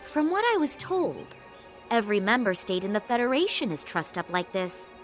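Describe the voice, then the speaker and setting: A woman's voice: medium pitch, big pitch swings, normal speed, soft background music, close to an everyday voice. A woman voicing a bossy, sassy catgirl innkeeper in a video game, with a teasing, wry manner. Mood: wry, puzzled. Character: sassy, bossy female innkeeper catgirl, older than she looks. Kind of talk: animated video game cutscene acting.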